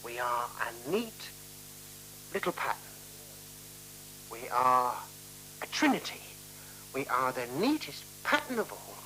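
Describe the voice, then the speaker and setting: Earnest, pedantic man, animated. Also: mid-range voice, faint music behind, heavily acted, poor audio. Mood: solemn, satisfied. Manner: formal, deliberate, repetitive. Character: earnest, courteous, pedantic man, anxiously precise. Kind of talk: 1970s radio play acting. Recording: hissy, humming 1970s radio recording.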